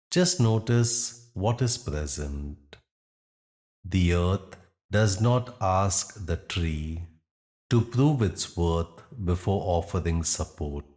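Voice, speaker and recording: A man leading a guided yoga nidra meditation, speaking calmly and gently in short, unhurried phrases with pauses. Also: sits low. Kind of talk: guided meditation, slow instruction with long pauses.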